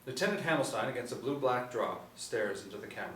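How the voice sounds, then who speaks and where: A man's voice: medium pitch, expressive, fast, fairly bright tone. A middle-aged man reading screenplay stage directions aloud as narrator at a table reading. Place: small indoor room. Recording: roomy, distant mic, light room noise.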